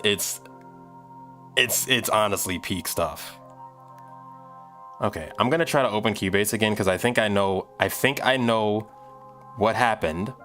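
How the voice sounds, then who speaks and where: A man's voice: lowish voice, a bit bright. A man in his thirties chatting casually on a livestream, rambling and thinking aloud as he works. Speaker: live streamer making music. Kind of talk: casual livestream chat while working.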